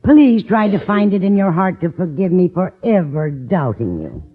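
An actress playing a sentimental, fretful older woman in love, pleading melodramatically in a radio sitcom with a husky voice. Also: lowish voice, big pitch swings, moderate pace. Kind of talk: 1950s radio sitcom acting. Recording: dull old radio recording, audience behind.

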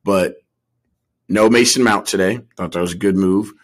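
Low voiced guy on a sports podcast, casual slangy chat, repeats stuff for emphasis. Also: moderate pace. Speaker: podcast co-host.

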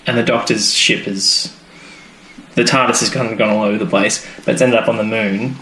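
A man's voice: lowish voice, fairly even intonation, a little fast, over a noisy crowd. A man co-hosting a podcast, chatting casually and rambling as he trails off mid-thought. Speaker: podcast co-host. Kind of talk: casual chat reviewing a TV serial. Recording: echoey room with background noise.

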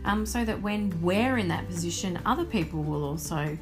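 A woman's voice: lowish voice, animated, moderate pace, over music. An Australian woman co-hosting a podcast in casual chat, speaking in an enthusiastic, emphatic way. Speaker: podcast co-host.

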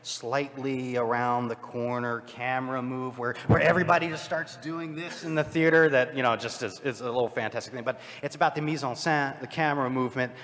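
Male panelist, mid-pitched, analytical and halting, heavy hall reverb on a live discussion. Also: fairly even intonation, fairly bright tone. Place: large convention panel room with microphones.

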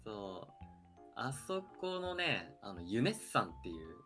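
A man's voice: fairly low pitch, sing-song, unhurried, sharp tone, faint music behind. A young man co-hosting a radio show in casual, friendly-register conversation. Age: young adult. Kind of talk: casual chat.

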